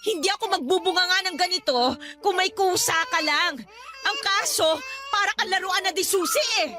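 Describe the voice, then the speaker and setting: Very high-pitched nagging Filipino young mother. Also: normal speed. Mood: angry, bossy. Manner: scolding, sarcastic. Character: exhausted, nagging young mother, sharp-tongued and aggrieved. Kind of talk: Filipino radio drama acting. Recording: music behind the dialogue.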